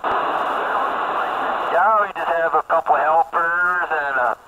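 A man's voice: a little high, takes it slowly, a crowd in the background. An American man making casual calls over a CB radio transmission. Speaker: CB radio caller. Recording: tinny, very noisy CB radio signal.

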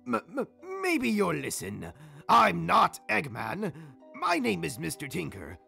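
Meek cartoon handyman, frightened, stuttering, heavy creak, swooping high voice, music behind. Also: huge pitch swings, a little slow, pushed and bright, heavily acted. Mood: frightened protest. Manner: stammering. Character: timid, frightened male handyman, pleading and muddled. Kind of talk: comic dub character acting.

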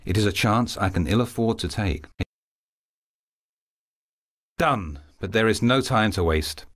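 An actor playing an earnest, bold, loyal young knight in a video game, with a resolute, theatrical delivery. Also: male voice, lowish voice, animated, normal speed, a bit bright. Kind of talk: video game cutscene acting.

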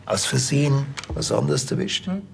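An actor playing a wry police detective, casual and dry. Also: male voice, medium pitch, sing-song, moderate pace, vocal fry, a little hiss or hum.

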